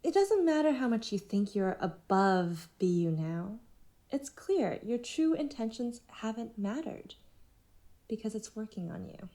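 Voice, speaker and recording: A woman's voice: a little high, very animated, measured pace, mellow. A woman playing a smug, controlling group leader in a podcast drama, taunting and assured. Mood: coolly certain.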